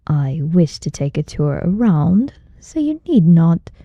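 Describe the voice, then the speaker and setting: A woman voicing a cold, aloof male martial arts master in an audiobook, slow, measured and commanding. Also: on the low side, sing-song, mellow, breathy edge, no room sound, highs cut off, full character voice. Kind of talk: audiobook character voice.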